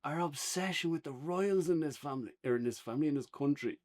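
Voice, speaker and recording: A man's voice: on the high side, fast talker, darkish tone. An Irish man chatting casually and unscripted as a podcast co-host reviewing a show. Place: indoors on a couch, podcast setup.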